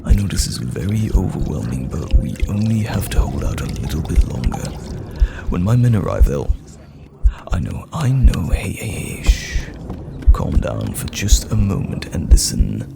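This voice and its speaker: A man playing a steadfast knight general who protects common folk, measured, commanding and reassuring, in a fantasy audio roleplay. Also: full character voice. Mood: reassuring, earnest. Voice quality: deep, gravelly. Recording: background music under the voice. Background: music.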